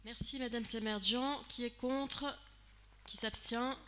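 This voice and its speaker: A woman chairing a municipal council, briskly thanking speakers and calling the votes. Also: a little high, fairly even intonation, bright, forceful tone, slight background noise. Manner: brisk, routine, procedural. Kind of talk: chairing a vote, formal procedure.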